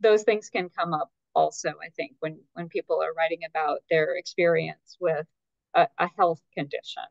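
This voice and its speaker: A woman, an editor guest in an interview, answering in a thoughtful, measured way with some hesitations. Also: deep voice, fairly even intonation, gravelly, dry recording. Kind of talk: conversational interview. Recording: dull, dry, lo-fi sound.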